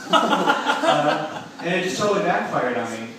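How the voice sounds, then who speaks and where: A man's voice: fairly high pitch, big pitch swings, a little slow. A young man doing a stand-up comedy set in a club, rambling and self-mocking, full of filler words and swearing. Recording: echoey room recorded from a distance with noise.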